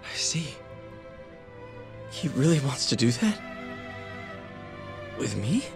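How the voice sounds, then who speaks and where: A man's voice: medium pitch, very animated, slow, vocal fry, over music, full character voice. A man playing a weary, downcast prisoner in a video game cutscene, hesitant and subdued. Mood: hesitant hope.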